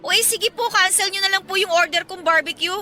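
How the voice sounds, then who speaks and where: A woman's voice: very high voice, brisk, pushed and bright. A woman playing a sassy, cheeky young woman in a Tagalog radio drama, pert and sarcastic, with a Filipino accent. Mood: cheeky banter. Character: sassy, food-loving young woman, cheeky and grudge-holding. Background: music.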